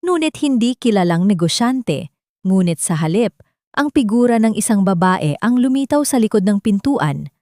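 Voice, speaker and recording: A woman's voice: mid-range voice, warm tone, no room sound, studio quality. A woman with a Filipino accent reading scripted dramatic narration for an audio love story.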